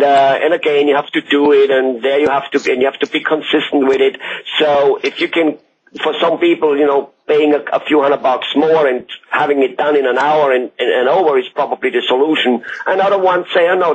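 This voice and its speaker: A man's voice: fairly high pitch, steady tone, brisk, breathy edge. A man with an Austrian accent, a native German speaker, conducting a conversational interview in a rambling, wordy way. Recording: muffled audio with loud background noise.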